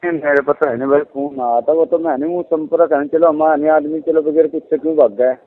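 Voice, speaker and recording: A man's voice: a little high, steady tone, brisk, no room sound. A man with a western Uttar Pradesh accent chats casually on a phone call, hesitant and given to short agreeing replies. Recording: tinny narrow-band phone line.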